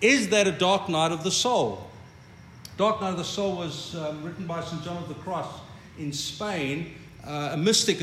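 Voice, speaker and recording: An older man with a South African accent, Afrikaans being his first language, leads a congregation in a sermon that sounds like a conversation. His voice sits high for a man. He talks in a reflective way and often says a word twice before a thought gets going. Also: a bit bright, slight background noise. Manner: reflective, repeats words when starting a thought. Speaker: pastor.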